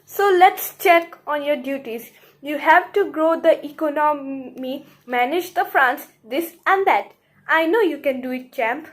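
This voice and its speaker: Puppet-show royal minister, played by a woman, high-pitched, unhurried, breezy, flippant, chummy. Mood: flippant, upbeat.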